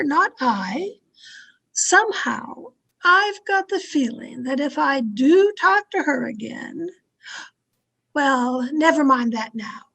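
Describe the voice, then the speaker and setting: A woman acting a domineering, fearful, haunted wife in an old-time radio thriller, with an imperious, dramatic delivery full of halting dread. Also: high-pitched, creaky, fairly bright tone, full character voice, low-quality recording.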